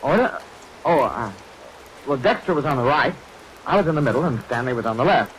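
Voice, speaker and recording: An actor playing a grave, precise professional man in a 1940s radio drama, speaking in a measured, formal, explanatory way. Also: medium pitch, big pitch swings, fairly bright tone. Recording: noisy vintage radio recording, rain over it.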